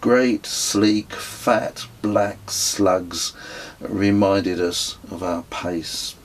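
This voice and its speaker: A middle-aged man reading his own travel memoir as scripted narration, in a measured, reflective way, with a husky voice. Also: low voice, steady tone, slight background noise.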